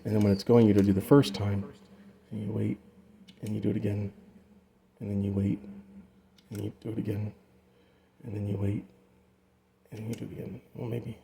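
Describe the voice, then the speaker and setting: A man's voice: lowish voice, fairly even intonation, moderate pace, creaky, pauses often, mellow, slight background noise. Male presenter, informal and rambling, for a casual hands-on gear demo. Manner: informal, rambling, trails off mid-explanation. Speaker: presenter demonstrating a vocal effects unit.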